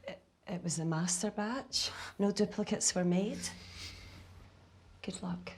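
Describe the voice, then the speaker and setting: A woman's voice: medium pitch, slow, darkish tone. An actress playing a businesslike, worried woman lab colleague in a sci-fi film, speaking in a subdued, serious way. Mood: grave, urgent. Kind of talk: naturalistic film acting.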